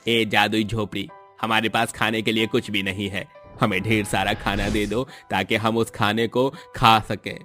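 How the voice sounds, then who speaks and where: A man's voice: fairly low pitch, flat delivery, normal speed, hardly any pauses, sharp tone, faint music behind. A man voicing a poor, kind-hearted, earnest village father in a dramatised moral story. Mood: earnest pleading.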